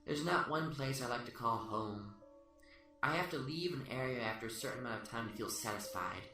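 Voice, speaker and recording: A man's voice: mid-range voice, animated, fairly quick, lightly acted. Man playing a smug killer, roomy and distant. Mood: smug, boastful. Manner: smug, taunting, speechifying. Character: smug, philosophizing serial killer in custody, taunting and remorseless. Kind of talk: amateur radio play acting. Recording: roomy, distant-mic sound under loud music.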